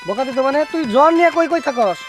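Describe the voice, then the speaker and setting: A man plays a fretful, scolding village father in a short drama film. His voice is very high and he rattles out insistent warnings at great speed, with music clearly behind him. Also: animated, fast talker. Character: worried, scolding village father of a feverish child. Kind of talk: amateur Bengali short-film drama dialogue.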